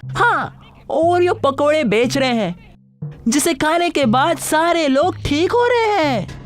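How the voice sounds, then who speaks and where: A woman voices a meek yet cheeky cartoon servant. The voice is very high and eager to inform, with soft music behind it. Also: animated, slow. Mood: nervous, reporting. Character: meek but cheeky male servant of landlady.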